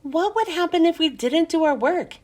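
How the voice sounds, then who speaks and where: A woman's voice: very high voice, lively intonation, moderate pace, a little mellow, pitched above the performer's own voice. A woman voicing an anxious, defiant schoolgirl in a children's storybook. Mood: worried questioning. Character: defiant, anxious schoolgirl puzzling out her predicament.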